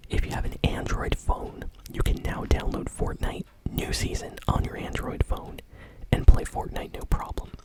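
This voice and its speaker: A woman whispering gaming news in an ASMR style as the show's host, soft, conversational and unhurried. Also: poor audio.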